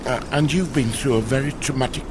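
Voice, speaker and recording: A man's voice: medium pitch, brisk, lightly acted. A man playing a deluded, awkwardly cheerful would-be rescuer in a sci-fi audio comedy, blandly upbeat. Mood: upbeat, reassuring. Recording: clear outdoor ambient noise behind voice.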